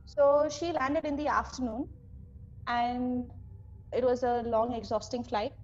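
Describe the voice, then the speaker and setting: A woman's voice: a little high, fairly even intonation, measured pace, a little mellow, lo-fi sound. A young woman with an Indian accent casually retelling a story, acting out what people said, with music behind her. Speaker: guest storyteller, cabin crew.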